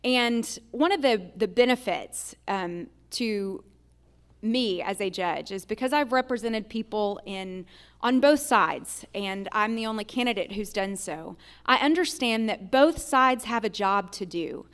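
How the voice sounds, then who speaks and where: An American woman with a crisp, mid-pitched voice speaks as a candidate for judge. Her forum delivery is measured and earnest. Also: animated, a bit bright. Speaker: judicial candidate.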